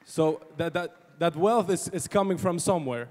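A man's voice: a little high, moderate pace, a little mellow. A man speaking earnestly and emphatically during a discussion. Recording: reverberant concert hall.

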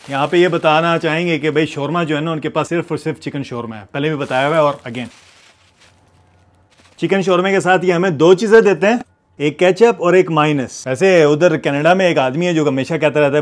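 Chatty man vlogging about food. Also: a little high. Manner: chatty, enthusiastic, mixes English phrases in. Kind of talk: casual food vlog narration. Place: shopping mall food court, then hotel room.

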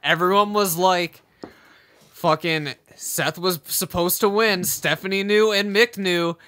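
Young male co-host with a bright, slightly high voice; quick, joking, swears freely. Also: fairly even intonation. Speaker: podcast co-host. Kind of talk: casual chat. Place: room with shelves of movies and comics.

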